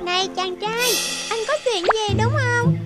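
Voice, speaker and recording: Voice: extremely high pitch, unhurried. An actor voicing a clever, confident little rabbit judge in a children's fairy-tale cartoon, speaking in a reassuring, articulate way. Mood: friendly, reassuring. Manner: self-assured, reassuring, articulate. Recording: loud music under the voice.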